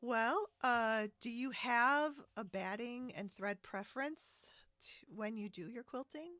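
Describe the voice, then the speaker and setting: An American woman chatting casually as a podcast host, with a halting, wandering delivery. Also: on the high side, sharp tone, no room sound, studio quality. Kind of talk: casual interview chat about a hobby. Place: remote split-screen video call.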